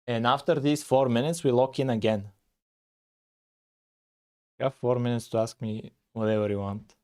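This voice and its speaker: Male streamer, laid-back, mid-pitched, soft dark voice. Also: moderate pace. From Bulgaria. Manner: relaxed, thinking aloud with frequent "uh". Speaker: live-streaming game developer. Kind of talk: casual live coding stream with chat.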